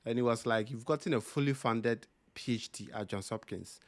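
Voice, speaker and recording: Dry-sounding interview host, mid-pitched man. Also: moderate pace, no room sound. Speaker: podcast host. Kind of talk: interview conversation.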